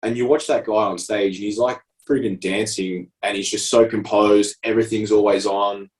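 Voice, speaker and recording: A man's voice: lowish voice, fairly even intonation, moderate pace, distant mic. A man in his thirties with an Australian accent, co-hosting a coaching podcast in casual, enthusiastic chat. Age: 30s. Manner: enthusiastic, explanatory, fillers like "like" and "obviously". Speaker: prep coach podcast co-host.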